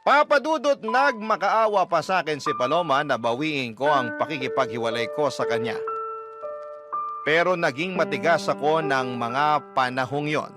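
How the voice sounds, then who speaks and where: A man's voice: on the high side, lively intonation, moderate pace, natural voice. A man voicing an aggrieved heartbroken young man. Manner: earnest, confessional, aggrieved. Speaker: letter sender narrating his story. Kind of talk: radio drama narration. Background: loud background music.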